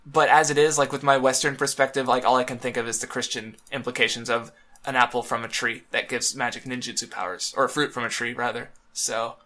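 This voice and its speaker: A man co-hosting a casual podcast, rambling with many restarts and fillers, a bit hoarse. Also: medium pitch, flat delivery, brisk, pushed and bright. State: throat-clearing, a bit hoarse. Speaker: podcast co-host. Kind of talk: casual chat about manga.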